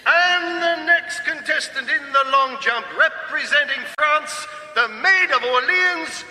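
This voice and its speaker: A man's voice: sharp tone, heavily acted. A man playing a pompous, fawning demon master of ceremonies in a radio sitcom, declaiming grandly. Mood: ceremonial. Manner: grandiose, declamatory, slow announcing. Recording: booming echoey arena reverb with music.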